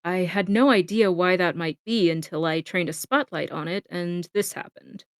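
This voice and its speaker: A woman's voice: lowish voice, steady tone, normal speed, clear, resonant tone, dry recording, clean studio sound, lightly acted. A woman voicing a brainy, matter-of-fact robot scientist in an amateur comic dub, explaining precisely. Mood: intent explaining.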